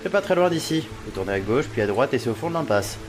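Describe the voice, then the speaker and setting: An actor playing a sly, mercenary street informant in an audio drama, gossipy and falsely obliging. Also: male voice, mid-range voice, sing-song, quick pace, over music, lightly acted.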